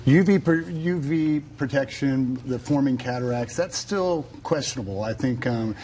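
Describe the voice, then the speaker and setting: A man's voice: medium pitch, normal speed, warm tone, slight background noise. A man speaking as an eye doctor on a TV talk panel, casual and full of fillers. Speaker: eye surgeon guest. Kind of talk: panel discussion. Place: TV studio.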